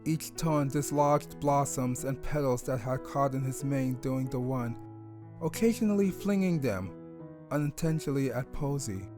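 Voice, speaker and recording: A man reading scripted story narration in a measured, descriptive manner. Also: medium pitch, music in the background.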